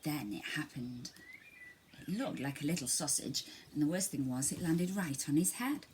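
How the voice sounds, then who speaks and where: A woman reading a children's story aloud in an expressive, dramatic way. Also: low-pitched, moderate pace, noisy background. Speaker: storybook reader.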